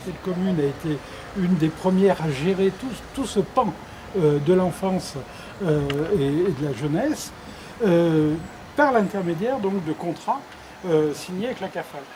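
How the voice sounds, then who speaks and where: Man, high voice, interview testimony, halting, repeats words, reflective, noticeable background noise. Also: mellow. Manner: hesitant, halting, often repeats words while searching. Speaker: former president of an intercommunal council, interviewed. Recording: clearly noisy background ambience.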